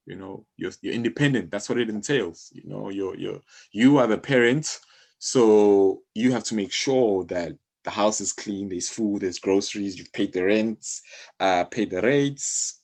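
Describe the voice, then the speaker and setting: Low-voiced South African man explaining things casually. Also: creaky. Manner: explanatory, conversational, uses everyday examples. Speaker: interview guest, music publishing company co-founder. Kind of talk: casual interview talk.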